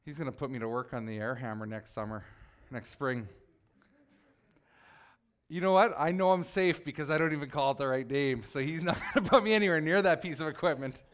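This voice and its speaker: Guy pastor, pretty high voice, chatty sermon, cracks jokes at folks in the pews. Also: a little fast. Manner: jokey, teasing the congregation by name. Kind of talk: casual conversational sermon.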